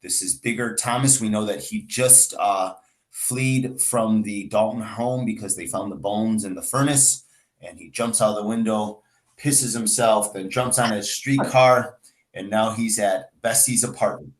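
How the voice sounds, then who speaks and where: A man speaking as a teacher, giving a relaxed, casual introduction to a class reading. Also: lowish voice, measured pace. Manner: relaxed, with fillers. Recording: roomy echo.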